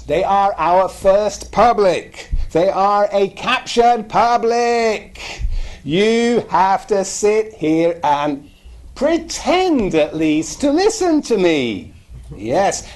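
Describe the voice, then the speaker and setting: Guy giving a sociology lecture, really lively up and down pitch, pauses for effect. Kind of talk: university lecture.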